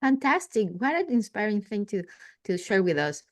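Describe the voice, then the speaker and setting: A woman's voice: medium pitch, very animated, normal speed. Enthusiastic woman podcast host. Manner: enthusiastic, praising. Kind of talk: interview hosting.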